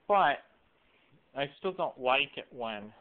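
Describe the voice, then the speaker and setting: Male, late 20s, confessional rambler, halting and repetitive delivery, weak narrow-band phone-camera sound. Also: medium pitch, expressive. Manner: halting, repeats and rephrases himself. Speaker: video blogger. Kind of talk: rambling personal confessional monologue. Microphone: cell phone camera microphone. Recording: weak, muffled lo-fi cell phone audio.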